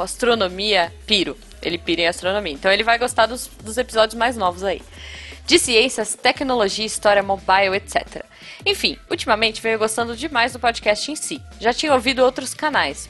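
A woman's voice: medium pitch, fairly bright tone. A woman hosting a podcast, reading listener emails aloud with frequent laughter. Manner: laughing often, chatty, reads emails aloud. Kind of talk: casual chat.

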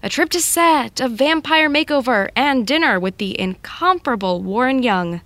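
A woman playing a bossy, no-nonsense colleague in a naturalistic podcast drama, brisk and sardonic. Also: sits high, animated, fairly bright tone, no room sound. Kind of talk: naturalistic fiction podcast acting.

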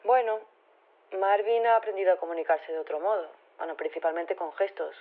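An actress playing an earnest, flustered robotics teacher turned activist, talking hesitantly and chattily over a phone filter in an audio drama. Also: female voice, on the low side, fairly even intonation, a little fast. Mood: earnest, indignant. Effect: telephone filter. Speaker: phone-in interviewee. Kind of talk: radio interview in audio fiction. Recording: thin, narrow phone line.

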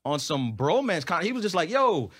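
A man's voice: high-pitched, sing-song, normal speed, fairly bright tone, breathy edge, no echo. A man with a New York accent, a comedian guest telling stories in casual, slangy conversation. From Queens, New York City. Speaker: stand-up comedian, interview guest.

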